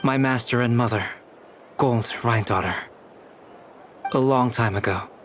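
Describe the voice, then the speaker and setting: A man playing a calm, soft-spoken young scholar, an artificial human in a video game, speaking formally and reflectively. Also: fairly low pitch, close to an everyday voice. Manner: measured, reflective, formal diction. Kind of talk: scripted video game dialogue. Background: background music.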